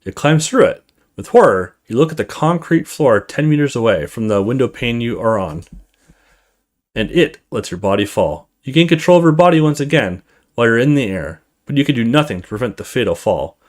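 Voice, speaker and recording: A man's voice: mid-range voice, very animated, a little fast. A man hosting a gaming video, reading story text aloud expressively in a casual let's play style.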